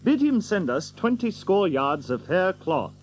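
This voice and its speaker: A bold, mocking outlaw leader in a medieval audio adventure. His voice is fairly high and swoops expressively as he teases with grand mock courtesy in archaic English. Faint noise sits behind him. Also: very animated, a little slow. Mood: jovial. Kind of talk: audio drama acting.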